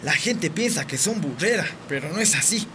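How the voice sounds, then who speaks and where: A man's voice: a little high, expressive, normal speed, noisy background, close to an everyday voice. A man with a Bolivian accent playing a principled, earnest teenage boy in a radio drama. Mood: concerned, eager.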